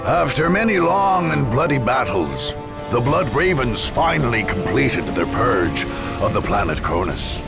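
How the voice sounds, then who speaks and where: An actor playing a grave chronicler of grim far-future wars, narrating a game cutscene in a solemn, measured, portentous way. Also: male voice, medium pitch, sing-song, gravelly. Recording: music behind the voice.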